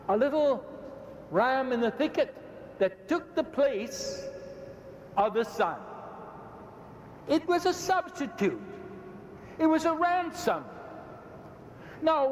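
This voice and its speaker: Older irish-born bishop preaching big, high voice, booming echoey arena hall. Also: male voice, slight background noise. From Ireland. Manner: emphatic, repetitive building phrases, gesturing widely. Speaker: bishop debating in a public debate. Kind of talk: impassioned preaching to a large audience. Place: large indoor arena stage. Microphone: podium microphone, speaker often stepping away. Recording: echoey arena with long reverb.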